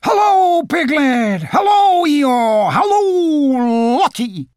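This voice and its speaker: A man voicing a boisterous, friendly young tiger in a storybook reading, loud, excitable and exuberant. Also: very high voice, slow, gravelly, no echo, studio quality, pitched above the performer's own voice, exaggerated voice.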